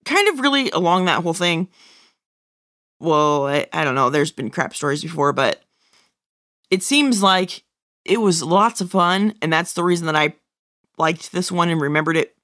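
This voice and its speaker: A woman's voice: fairly low pitch, expressive, normal speed, long pauses, a bit bright, dry recording, clean studio sound. A woman co-hosting a casual review podcast in a blunt, informal way. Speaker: podcast co-host.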